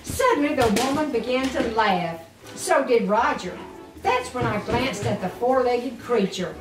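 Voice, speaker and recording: An older woman, a grandmother, reads a children's story aloud expressively and deliberately, with doting asides to the children. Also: on the high side, animated. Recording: echoey room, distant mic, music underneath.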